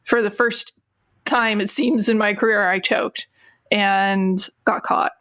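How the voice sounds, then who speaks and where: A woman's voice: medium pitch, steady tone, slow, a bit bright. A woman chatting casually as a player in a tabletop game. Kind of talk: casual chat about a game character.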